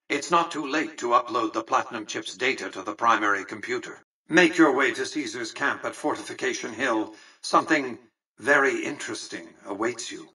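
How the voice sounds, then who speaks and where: A man playing an aged, imperious industrialist in a video game, speaking formally and condescendingly with precise, measured diction. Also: mid-range voice, pushed and bright, breathy edge, recorded from a distance, low-quality recording. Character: aged, shrewd, imperious industrialist tycoon ruling a casino city. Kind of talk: video game character dialogue.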